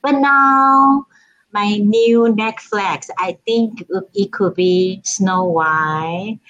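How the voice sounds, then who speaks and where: A woman's voice: medium pitch, very slow, fairly bright tone, muffled recording. A woman from Taiwan with a Taiwanese accent, chatting as a guest in a casual interview, often restarting and filling with "you know".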